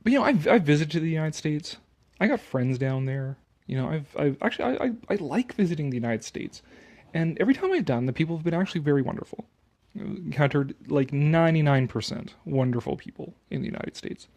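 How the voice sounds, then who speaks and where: Canadian man, moderately high voice, sarcastic, exasperated, sweary, casual opinionated commentary mixed with dramatic reading. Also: expressive, brisk, darkish tone. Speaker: commentator reading an article aloud.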